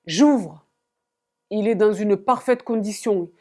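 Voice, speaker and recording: A woman's voice: medium pitch, takes it slowly, darkish tone. A woman vlogging playfully to camera about coats she bought, theatrical and giggly as she talks straight to her viewers. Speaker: fashion vlogger.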